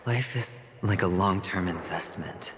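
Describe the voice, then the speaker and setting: An actor playing a self-assured young male gambler in a game cutscene, speaking in a wry, measured way. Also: low voice, big-room sound, background noise. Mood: reflective.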